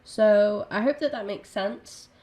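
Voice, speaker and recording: Female streamer, raspy and croaky with a cold, a bit nasal, mellow dark tone, loose rambling chat full of ums. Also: mid-range voice, slow pace. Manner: chatty, rambling, with many ums and restarts. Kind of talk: casual gaming stream chat.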